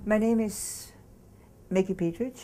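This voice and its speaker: Slow, mellow woman from Austria. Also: mid-range voice, background noise. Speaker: consultant. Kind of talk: recorded interview.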